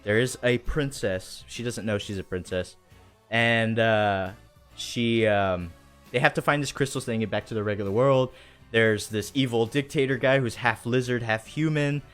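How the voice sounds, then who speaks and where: A man's voice: mid-range voice, moderate pace, a bit bright, clear, resonant tone. A man hosting a casual livestream chat in an enthusiastic, rambling, slangy way. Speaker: show host. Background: faint music.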